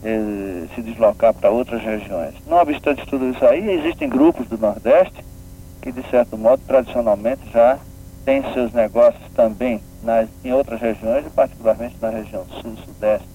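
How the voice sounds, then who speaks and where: Through a thin, phone-like band with faint noise comes a man with a Pernambuco accent. His pitch is mid-range. He explains patiently as an interview guest, in a didactic way, checking with small tag questions. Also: lively intonation, moderate pace. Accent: northeastern Brazilian, from Pernambuco. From Pernambuco, northeastern Brazil. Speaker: guest, regional development agency official. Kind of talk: radio interview, explanatory talk.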